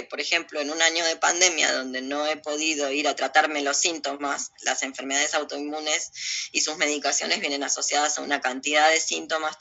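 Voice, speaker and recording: Bright, creaky-voiced Argentine woman, blunt. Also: sits low, dull audio. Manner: blunt, emphatic, with coarse slang. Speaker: interview guest. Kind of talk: opinionated, informal interview talk.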